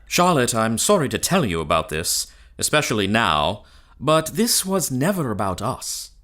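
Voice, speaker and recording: An actor playing a mild, evasive, faithless gentleman lover in an audio melodrama, polite and hesitant. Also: mid-range voice, big pitch swings, normal speed. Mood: awkward, apologetic. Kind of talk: audio drama acting, gothic melodrama.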